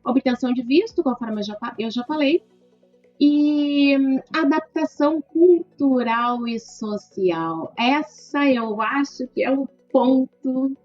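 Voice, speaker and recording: A middle-aged woman lawyer from southern Brazil with a gaúcho accent, explaining points in a measured way and often adding "né". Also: high voice, no echo. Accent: gaúcho Brazilian Portuguese. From southern Brazil (Rio Grande do Sul). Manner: measured, lists points with frequent "né". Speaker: lawyer guest. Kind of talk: interview answer, explanatory. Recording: dull lo-fi dry sound with faint music.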